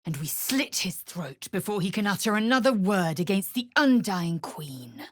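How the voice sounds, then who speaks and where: A woman's voice: mid-range voice, big pitch swings, normal speed. A woman playing a proud, fierce alien warrior in a fantasy video game, with a curt, imperious and disdainful delivery. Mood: commanding anger.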